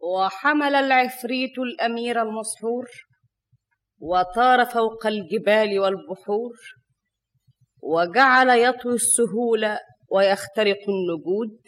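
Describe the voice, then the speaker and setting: Casting: a radio fairy-tale narrator, measured and formal, slow delivery, very high voice. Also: male voice, fairly bright tone. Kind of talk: literary rhymed scripted narration.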